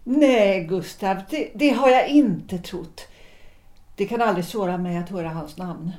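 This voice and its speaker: A woman acts out a reserved, self-possessed wife in a period radio play. She speaks at a medium pitch with measured, dryly ironic phrasing, and the room around her echoes noticeably. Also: a little mellow, natural voice. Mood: guarded. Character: composed, guarded wife of a politician, former singer. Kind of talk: period stage-play audio drama acting.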